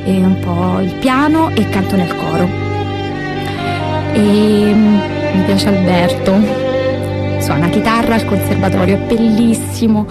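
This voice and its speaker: A woman's voice: medium pitch, a little slow, long pauses, natural voice. A woman playing a chatty, dreamy fifteen-year-old schoolgirl who introduces herself in a candid, self-mocking radio theatre monologue. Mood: shy, smitten. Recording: loud music under the voice. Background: loud instrumental music.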